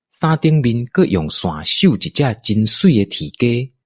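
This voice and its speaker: A male narrator tells a radio-play story in a Taiwanese accent. His low, slightly husky voice moves in lively swings of pitch, close and dry. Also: dry recording. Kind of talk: scripted radio drama storytelling.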